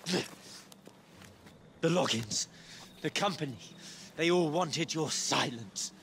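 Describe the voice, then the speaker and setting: An actor playing a contemptuous, menacing British imperial villain in a game cutscene, sneering and theatrical. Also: male voice, a little high, expressive, slow pace, creaky, a bit bright, slight background noise, full character voice. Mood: bitter, defiant. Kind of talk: video game cutscene acting.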